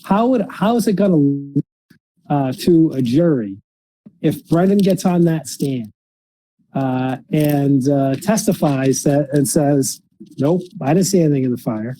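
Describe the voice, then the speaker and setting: A man hosting a podcast in unscripted case discussion, speaking haltingly with frequently repeated and restarted phrases. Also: on the high side, animated, dark tone, poor audio. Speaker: podcast host.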